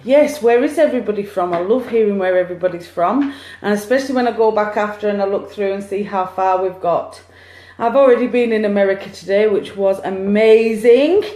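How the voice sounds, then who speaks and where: A woman as a psychic medium, chatting excitedly through a live-streamed card reading on her phone. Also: medium pitch, brisk. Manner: chatty, excitable, cheering on share counts. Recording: echoey room, phone mic.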